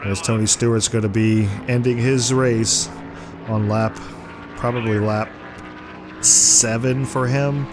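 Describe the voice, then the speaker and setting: A man with a sore, congested throat from flu, giving play-by-play commentary over his own racing game. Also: fairly low pitch. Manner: play-by-play, excited when the car slides. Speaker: gameplay commentator. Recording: game car noise behind voice. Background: racing game engine noise.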